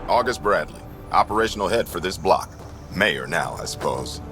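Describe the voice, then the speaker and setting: An actor playing a gruff, plain-spoken frontier outpost chief in a video game, talking in clipped phrases. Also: male voice, sits low, animated, moderate pace, over music. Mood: determined. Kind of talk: video game dramatic dialogue.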